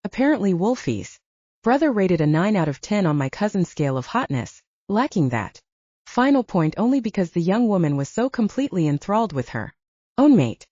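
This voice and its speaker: A woman's voice: medium pitch, big pitch swings, brisk, mellow, dry recording, lo-fi sound. A woman reading first-person fiction as a scripted audiobook narration.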